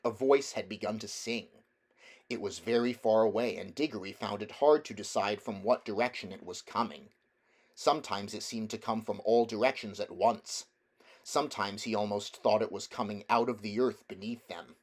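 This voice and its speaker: A man reading a children's novel aloud as a narrator, in a measured, deliberate storytelling manner. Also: lowish voice, darkish tone. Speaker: audiobook reader.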